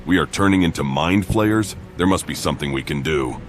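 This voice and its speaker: A man's voice: extremely low pitch, lively intonation, brisk, soft background music. A man playing a wary, questioning adventurer as the protagonist of a video game. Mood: questioning, concerned.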